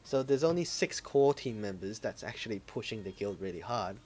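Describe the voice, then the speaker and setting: A man co-hosting a casual gaming podcast, relaxed and offhand, swearing casually and trailing off while he hunts for words. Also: fairly low pitch, a little fast, slightly rough. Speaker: podcast co-host.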